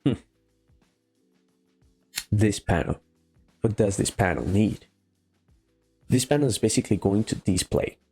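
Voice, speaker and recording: Male coding host, Venezuelan Spanish accent, gravelly and mellow, thinking out loud in a casual, halting walkthrough. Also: lowish voice, expressive. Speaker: programming tutorial host. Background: faint music, keyboard typing.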